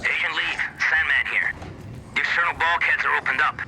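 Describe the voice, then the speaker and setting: An actor playing a hard-bitten, brusque army major in a video game, giving commanding military orders. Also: male voice, on the high side, steady tone, fairly quick, creaky, noisy background. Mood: brisk, commanding.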